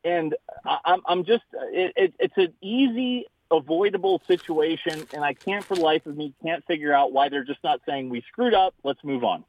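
A man's voice: a little high, expressive, moderate pace, no room sound. A man in a casual, opinionated chat as a guest, talking bluntly with a joking swagger. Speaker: guest, podcast host. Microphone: phone line. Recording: tinny phone line.

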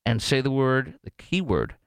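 A man's voice: mid-range voice, very animated, moderate pace, creaky, dry recording. An American man hosts a talk radio show with a clean, resonant voice in a dry studio sound. He speaks off the cuff, opinionated and joking, leaning hard on his points and drifting into tangents. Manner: opinionated, jokey, digressive, emphatic. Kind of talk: unscripted talk radio monologue with live ad reads.

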